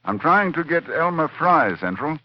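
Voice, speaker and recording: An actor playing a driven, fearful scholarly doctor with an intense, dramatic delivery in 1940s radio horror style. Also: male voice, fairly high pitch, big pitch swings, normal speed. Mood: tense. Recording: dull old radio recording with music.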